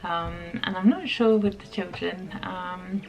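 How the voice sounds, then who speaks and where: A woman in her thirties from the UK, chatting reflectively to camera in a home vlog, pausing often with ums. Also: on the low side, lo-fi sound. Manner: reflective, soft-spoken, many pauses and ums. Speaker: vlogger. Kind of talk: casual chat to camera.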